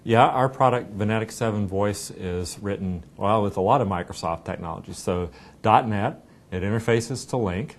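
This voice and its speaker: A middle-aged man explaining a product conversationally during an interview and demonstration, recorded indoors on a lapel mic. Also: moderate pace. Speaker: software company representative giving a demo. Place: indoor meeting room. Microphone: clipped lapel microphone. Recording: clear low rumbling room noise.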